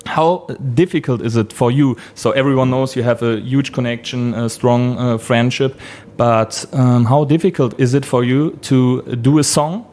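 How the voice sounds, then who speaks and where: A man asking interview questions in a halting, hesitant way. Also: medium pitch, fairly even intonation, darkish tone. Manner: halting, hesitant phrasing in non-native English.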